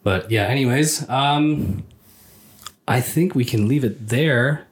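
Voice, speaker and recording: A man with a deep voice hosting a casual podcast interview, talking in halting bursts with many restarts. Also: a little hiss or hum. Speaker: podcast host.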